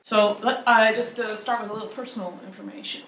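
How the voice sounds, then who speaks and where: A woman's voice: on the high side, steady tone, brisk, fairly bright tone, slight background noise. A tax law professor, a woman from Ontario, talking on a panel in a wry, chatty, self-deprecating way. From Ontario, Canada. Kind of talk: conversational panel talk. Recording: echoey room.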